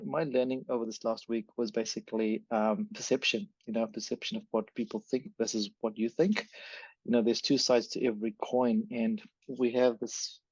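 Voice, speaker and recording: NZ male podcast host, rapid rambling delivery, dry lo-fi recording. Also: mid-range voice, a little mellow, no room sound. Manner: fast, rambling, self-correcting. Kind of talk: casual podcast chat. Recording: dry, dull lo-fi sound.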